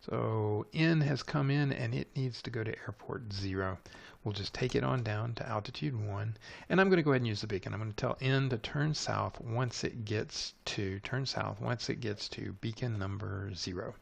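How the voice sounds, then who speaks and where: A man's voice: fairly low pitch, normal speed, gravelly. A man giving an unscripted screencast tutorial, methodically thinking aloud as he narrates each step. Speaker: tutorial presenter.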